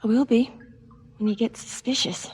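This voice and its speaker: A hushed, extremely breathy and dark-toned voice, a fearful woman in love. She speaks in short, anxious phrases in the manner of seventies radio drama. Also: a little high, steady tone, moderate pace. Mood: anxious, tender. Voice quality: very breathy, husky. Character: frightened woman in love, trapped with dangerous man. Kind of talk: 1970s stereo radio drama acting.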